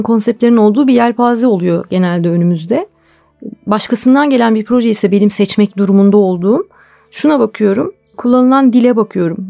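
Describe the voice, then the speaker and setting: A woman with a fairly high voice talks as a guest in a radio interview. She explains her views in a conversational, reflective way, with music behind her. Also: creaky, darkish tone. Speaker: interview guest, television drama producer.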